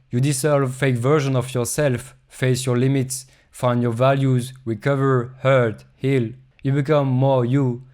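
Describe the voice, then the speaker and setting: Man, reflective voice-over, calm short phrases, monotone delivery, mid pitch. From Europe. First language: French. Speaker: travel vlogger. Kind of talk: reflective scripted voice-over narration.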